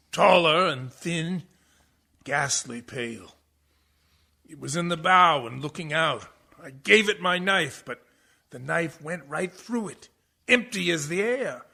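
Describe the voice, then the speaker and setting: An actor in a radio horror play playing a terrified ship's mate driven mad, raving between hushed dread and screams. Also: male voice, on the high side, lively intonation, takes it slowly, gravelly, pauses often, exaggerated voice. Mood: haunted dread.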